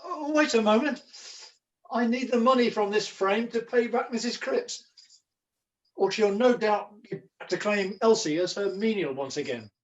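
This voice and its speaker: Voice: medium pitch, moderate pace, lo-fi sound, close to an everyday voice. A performer playing a flustered, scheming jester in a pantomime, declaiming in florid mock-archaic language. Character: Jack Point, a wordy, scheming, flustered jester. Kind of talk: theatrical pantomime acting.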